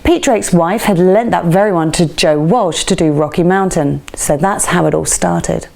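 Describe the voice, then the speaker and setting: A woman presenter reading a scripted radio-style feature in a measured, presenterly way. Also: on the low side, creaky, a little mellow. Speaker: presenter of a rock music feature.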